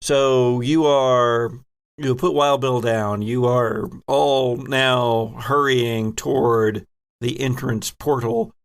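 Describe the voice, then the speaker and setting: Brief: male game master for tabletop RPG, slow and dramatic, plenty of fry in the voice. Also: mid-range voice. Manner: dramatic storytelling, addressing players as characters.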